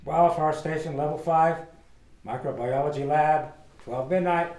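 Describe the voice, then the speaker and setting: A man's voice: a little high, measured pace, a little mellow, soft background music, lightly acted. A man playing a matter-of-fact narrator who sets the scenes in a scripted radio drama. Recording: roomy echo, faint noise.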